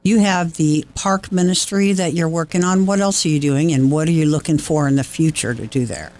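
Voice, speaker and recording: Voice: mid-range voice, fairly quick, darkish tone. A radio interviewer asking friendly, conversational questions.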